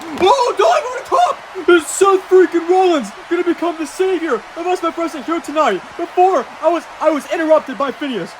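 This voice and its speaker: Someone doing an excitable high voiced wrestling commentator, anxious play-by-play, clear background noise. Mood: earnest, urgent. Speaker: wrestling commentator and ring announcer.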